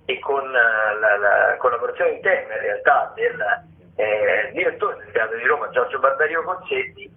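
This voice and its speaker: A man hosting a radio arts show, talking through theatre listings in an informal, wordy, halting way. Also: mid-range voice, animated. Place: radio broadcast. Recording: muffled, phone-like radio sound.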